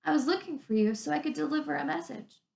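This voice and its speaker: Woman as an innocent high-voiced young girl, echoey room. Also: fast, gravelly, darkish tone, a little hiss or hum. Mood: meek, apologetic. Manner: meek, innocent.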